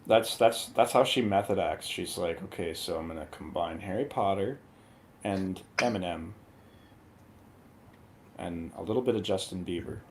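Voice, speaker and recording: A man's voice: deep voice, normal speed. A man on a movie review panel chatting casually and riffing jokingly on pop culture. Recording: clear background noise.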